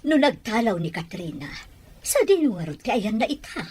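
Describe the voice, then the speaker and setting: A woman's voice: a little high, very animated, normal speed, darkish tone, slightly rough. A woman playing a worried, caring village mother of a grown son in an audio drama. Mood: concerned. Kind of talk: Ilocano audio drama acting.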